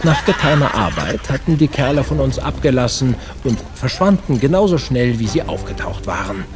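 A man's voice: medium pitch, big pitch swings, normal speed, over music, natural voice. An actor playing an earnest nineteenth-century investigator who narrates his own case in an audio drama. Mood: grave, suspenseful.

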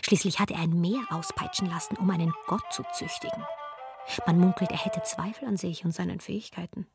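A woman's voice: lowish voice, fairly even intonation, brisk, music in the background, lightly acted. An actress narrating a radio play as an archaeologist telling the story of an ancient king. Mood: measured.

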